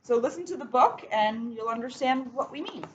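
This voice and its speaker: A woman's voice: a little high, animated, moderate pace. A woman chatting casually in a playful, bantering way as she co-hosts a read-aloud. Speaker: police officer co-hosting a read-aloud. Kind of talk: casual chat. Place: coffee shop, indoors. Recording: muffled, noisy room recording.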